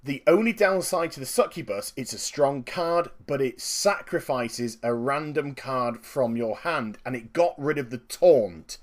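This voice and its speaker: A man with a slightly croaky voice, giving upbeat, chatty commentary on his own card game match and explaining each move. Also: medium pitch, lively intonation, a little slow, hardly any pauses. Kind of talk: gameplay commentary.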